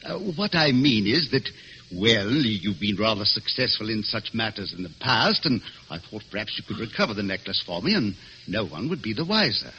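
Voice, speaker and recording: Eager gentleman client, vintage radio mystery. Lively, swooping delivery, formal but hesitant, with noise on the old recording. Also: fairly low pitch, moderate pace, highs cut off. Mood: persuasive. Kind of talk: 1940s American radio drama acting.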